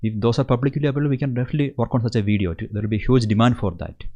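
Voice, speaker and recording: A man's voice: medium pitch, very fast, mellow, dull audio. Malayali-accented man answering viewers. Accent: Malayali Indian English. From Kerala, India. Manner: earnest, repeats words and restarts. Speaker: host of an engineering channel. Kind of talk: casual livestream Q&A.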